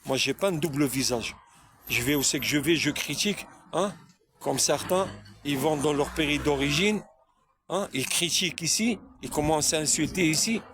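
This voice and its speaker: A man from France speaks in a slightly high voice, delivering an unscripted religious monologue straight to his viewers. He preaches, circles back on his points and keeps asking rhetorical questions. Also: normal speed, fairly bright tone, slight background noise.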